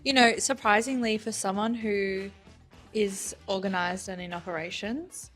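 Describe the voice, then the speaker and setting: A woman gives casual, chatty interview answers. Her voice sits at an average pitch, with music in the background. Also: fairly even intonation, unhurried, fairly bright tone. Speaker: operations staff member.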